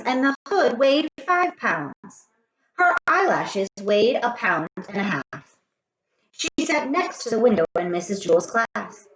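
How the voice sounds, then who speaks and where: A woman reading a children's story aloud in an expressive way, speaking straight to young listeners. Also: medium pitch. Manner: expressive, addresses children directly. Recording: roomy echo with faint noise.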